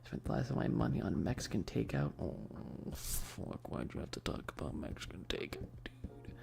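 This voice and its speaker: A man chatting casually on an ASMR game stream in a soft voice that often slips toward a whisper, laid-back and sweary with slangy, hesitant enthusiasm. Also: sits low, animated, normal speed, gravelly. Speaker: streamer hosting a live stream. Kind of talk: casual rambling ASMR stream chat about games.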